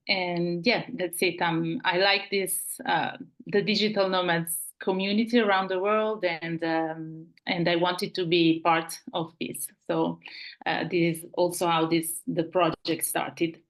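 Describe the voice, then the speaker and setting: Italian woman presenting on a video call, slightly low voice, halting delivery with frequent restarts. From Italy. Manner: halting, with many restarts and searching for words. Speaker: webinar host and project manager. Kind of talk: online webinar presentation.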